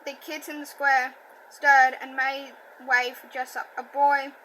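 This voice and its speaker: High bright woman reading with a stutter. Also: level tone, slight background noise, low-quality recording. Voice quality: stutter and slight lisp. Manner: halting, self-correcting reading. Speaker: audiobook reader. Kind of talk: amateur book reading.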